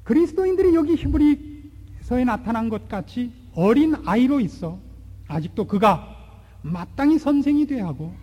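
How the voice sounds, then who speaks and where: A man in his forties preaching a sermon, asking rhetorical questions and swinging between loud and hushed delivery. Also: very high-pitched, big pitch swings, moderate pace, husky, lo-fi sound. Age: 40s. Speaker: pastor. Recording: long hall reverb.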